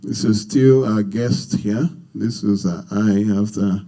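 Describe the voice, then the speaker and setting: Earnest Nigerian man, very dark voice. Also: lowish voice, steady tone, slow pace. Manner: earnest, emphatic, repeats phrases. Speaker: speaker leading a marriage seminar. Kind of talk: unscripted public talk to an audience.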